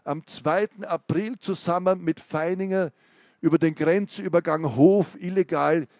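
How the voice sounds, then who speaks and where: A man reading aloud and voicing a cold, controlled male interrogator who asks curt, measured questions. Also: fairly high pitch, steady tone, darkish tone, no echo, studio quality.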